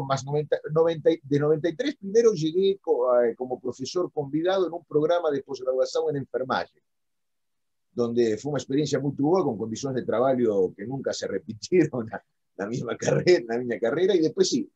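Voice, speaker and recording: Middle-aged male academic, native Argentine Spanish accent, dark mellow tone, raspy, halting and self-correcting delivery. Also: medium pitch. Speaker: philosophy professor, interview guest. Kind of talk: conversational academic explanation. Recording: dull lo-fi audio.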